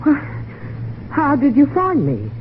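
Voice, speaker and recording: An actress playing a flustered, evasive wife in an old-time radio mystery, halting and stammering as she pleads. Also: sits high, wildly swooping pitch, full character voice. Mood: shocked. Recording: tinny, noisy vintage radio recording.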